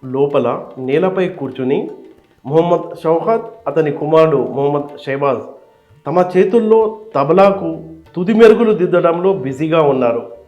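A man speaks in a fairly high register. He delivers as a reporter, presenting and questioning, with music heard behind his voice. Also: lively intonation, moderate pace, highs cut off. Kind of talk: explainer narration and street interview.

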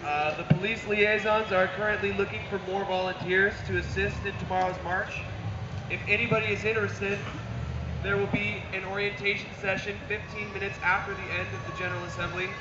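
A man's voice: high-pitched, fairly even intonation, brisk, heavy background noise. A man from the police liaisons announcing a call for volunteers at an outdoor assembly. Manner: plain, repeats the announcement. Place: outdoor city park assembly. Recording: dull, echoey outdoor camera recording.

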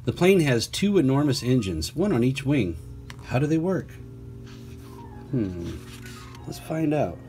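Casting: male storyteller, mid-pitched, deliberate children's read-aloud with chatty asides and playful questions, music underneath. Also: big pitch swings, mellow. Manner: clear, deliberate reading with playful questions to young listeners. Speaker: teacher reading a picture book aloud.

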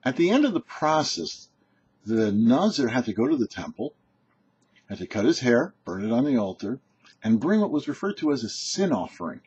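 Husky older man teaching, lively pitch. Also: normal speed. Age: older, about 60s. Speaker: rabbi hosting a weekly Torah portion show. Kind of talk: short religious teaching, explanatory. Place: indoor home office or study. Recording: muffled, dull webcam audio.

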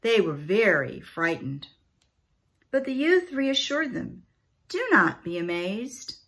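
A middle-aged woman reads scripture formally, with deliberate, careful phrasing. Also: medium pitch, very animated, fairly bright tone. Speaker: scripture reader. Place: small room at home.

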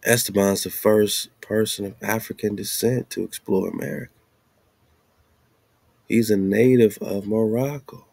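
A man's voice: fairly low pitch, steady tone, unhurried, gravelly, a little mellow, no room sound. A man giving an informal, slangy commentary, asking rhetorical questions and repeating phrases for emphasis. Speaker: host. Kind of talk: informal commentary monologue over on-screen maps and documents.

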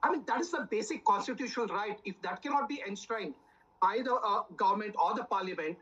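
A man's voice: high-pitched, quick pace, bright, forceful tone, breathy edge, lo-fi sound. A man, pleading his case earnestly as a panelist on a televised debate over a video call. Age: 60s. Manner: earnest, pleading, filler-heavy. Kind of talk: personal argument in a TV debate.

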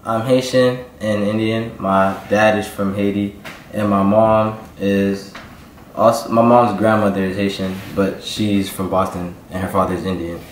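Low-voiced teen boy, loose and rambling. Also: little pitch movement, unhurried, reverberant, a little hiss or hum. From Miami. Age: teens. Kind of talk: audition self-introduction. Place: living room with bookshelves.

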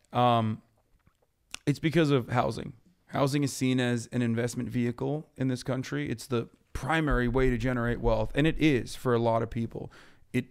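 A man in his thirties from Turkey, a podcast guest ranting about politics in a blunt, profane way in a studio on a boom mic. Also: gravelly. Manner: blunt, profane, rapid explaining. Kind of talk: heated political chat. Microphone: boom mic on stand.

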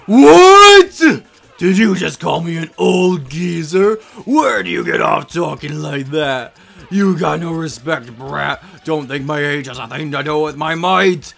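A man voicing a feisty, cranky old female elder creature in a video game, indignant and drawn-out. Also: a little high, expressive, vocal fry, a bit bright, full character voice. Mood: outraged. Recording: game music behind.

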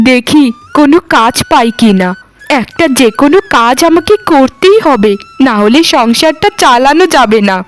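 A woman voicing a poor young wife who tenderly cares for her sick husband in a cartoon. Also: sits high. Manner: tender and pleading. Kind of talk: cartoon voice acting.

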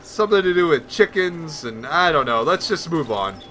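A man's voice: fairly high pitch, lively intonation, moderate pace, sharp tone, slight background noise. A man giving casual let's play commentary, rambling nervously and talking to himself as he plays. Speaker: gaming video host.

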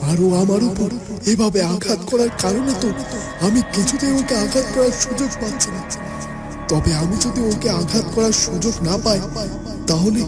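A man's voice: sits high, close to an everyday voice. A man voicing a brave but fearful magic orb, speaking dramatically in a cartoon fairytale. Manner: anxious, dramatic, determined. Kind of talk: melodramatic cartoon fairytale voice acting. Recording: loud background music.

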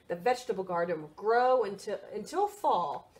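A woman reading a picture book aloud for children's storytime, expressive and sing-song, prompting young listeners with questions. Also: medium pitch, normal speed.

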